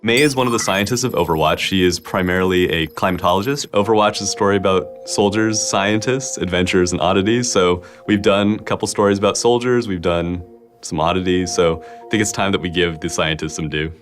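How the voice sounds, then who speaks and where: Deep-voiced man in an interview. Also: a little fast, faint music behind. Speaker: game writer interviewed. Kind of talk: documentary interview.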